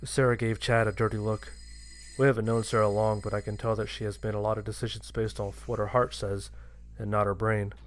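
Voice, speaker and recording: A man's voice: on the low side, level tone, a little fast. A man reading a scripted first-person horror story as its narrator.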